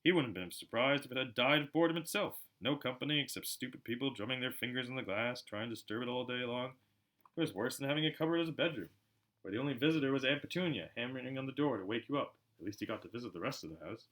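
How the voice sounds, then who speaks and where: A man reading a storybook aloud as an amateur narrator, in an expressive style. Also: medium pitch, fast talker. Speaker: amateur audiobook reader.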